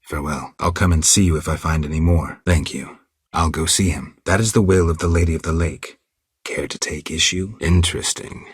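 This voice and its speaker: Game casting: world-weary witcher, rock-bottom bass register, gravelly, terse and deadpan, recorded very dry. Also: normal speed, dry recording, heavily acted. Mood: stoic, reserved. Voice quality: gravelly, husky. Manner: terse, restrained, deadpan delivery. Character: stoic, world-weary male monster-hunting witcher. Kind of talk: video game character dialogue.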